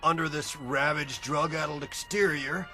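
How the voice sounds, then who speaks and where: An actor playing a ravaged, drug-addled low-life in a video game, lecturing with a scornful sneer. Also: male voice, steady tone, normal speed, pushed and bright, soft background music. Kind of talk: video game cutscene acting.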